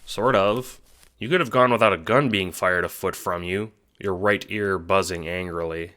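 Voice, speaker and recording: Deep, bright-voiced male narrator. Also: moderate pace. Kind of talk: second-person scripted audiobook narration.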